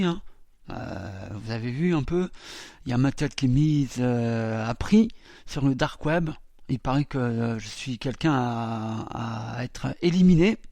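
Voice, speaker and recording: A man's voice: medium pitch, darkish tone, dry recording. A man hosting a small web radio show, talking in a mocking, defiant way with many hesitations. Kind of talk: commenting on recorded CB radio chatter.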